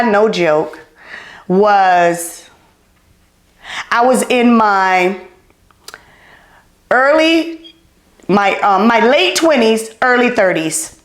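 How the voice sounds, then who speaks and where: A middle-aged woman hosting a podcast, excited and loud, repeating herself as she chats without her mic on. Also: a little high. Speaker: podcast host. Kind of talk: casual podcast chat. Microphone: not wearing her clip-on mic. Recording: roomy echo.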